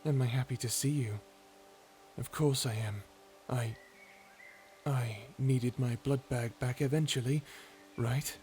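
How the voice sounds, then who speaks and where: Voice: medium pitch, steady tone, slow, darkish tone, soft background music, pitched below the performer's own voice, close to an everyday voice. A performer voicing a cold, edgy male tsundere vampire in an ASMR roleplay, curt and dismissive, hiding fondness. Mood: defensive, dismissive. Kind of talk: ASMR roleplay character acting.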